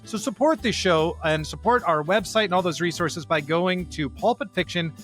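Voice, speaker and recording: A man's voice: sits high, moderate pace, a bit bright, faint music behind. A man co-hosting a religious podcast in an earnest, emphatic conversational way, often checking for agreement. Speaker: podcast co-host.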